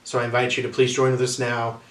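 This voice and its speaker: A man speaking as a church host, giving a semi-informal, measured introduction to a reading, pausing mid-phrase. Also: mid-range voice, monotone, fairly bright tone, a little hiss or hum. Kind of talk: spoken welcome, semi-informal address. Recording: echoey room, distant mic.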